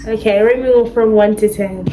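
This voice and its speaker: A woman's voice: medium pitch, steady tone, moderate pace, warm tone, a little hiss or hum, poor audio. A young woman from Jamaica, chatting bluntly into her phone for her vlog and swearing freely. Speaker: vlogger. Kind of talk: casual vlog and video-chat banter.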